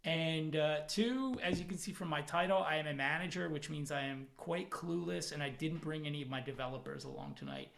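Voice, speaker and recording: A man presenting as a product manager at a technical conference, talking from home over a video call in a relaxed, self-deprecating way with plenty of fillers. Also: fairly high pitch, fairly quick, gravelly, few pauses, slight background noise. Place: home, in the evening.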